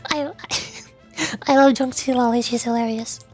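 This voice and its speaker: A woman's voice: fairly high pitch, fairly even intonation, measured pace, music in the background. A giggly woman streamer chatting casually to her viewers on a livestream.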